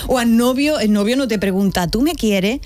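A woman's voice: on the high side, moderate pace, darkish tone, soft background music. A middle-aged woman with an Andalusian accent, enthusiastically explaining language on a radio talk show and laughing often. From eastern Andalusia, Spain.

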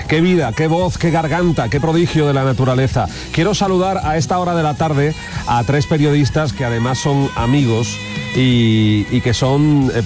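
A man hosts a live radio show outdoors by the sea, his voice at a middling pitch. He talks with eager warmth for his guests, stumbling over little hesitations as he goes. Faint music plays somewhere behind him. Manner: enthusiastic, with frequent hesitations. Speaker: radio host. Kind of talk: live radio broadcast chat. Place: outdoors on a beach.

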